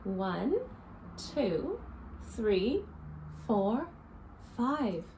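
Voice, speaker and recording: Lady teacher doing storytime for little kids, slow and super animated, explains stuff simply. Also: medium pitch, a little hiss or hum, rough recording. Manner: deliberate, instructive, addressing young children. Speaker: teacher reading to young children. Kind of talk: expressive picture-book read-aloud and simple lesson for children. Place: small living room, indoors.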